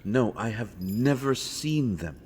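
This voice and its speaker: Male actor for deep-voiced fantasy roleplay royal interrogator, secretly protective, earnest, pleading urgency. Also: expressive, slow pace, a little mellow, slight background noise. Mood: stern, frustrated. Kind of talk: dramatic fantasy audio roleplay addressed to the listener.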